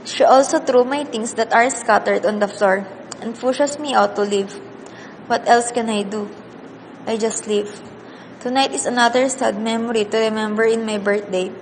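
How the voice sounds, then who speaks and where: A woman's voice: on the high side, steady tone, normal speed, close to an everyday voice. A woman playing a sad, earnest orphaned teenage girl who narrates a radio drama in the first person. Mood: sad reflective. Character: sad, weary orphaned teenage girl telling her story. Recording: long hall reverb, faint noise.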